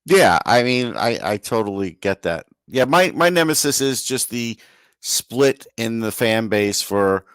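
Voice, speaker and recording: A man's voice: mid-range voice, lively intonation, unhurried, fairly bright tone, smooth, no room sound, studio quality. A man co-hosting a sports podcast, giving casual, opinionated commentary in a plain-spoken way.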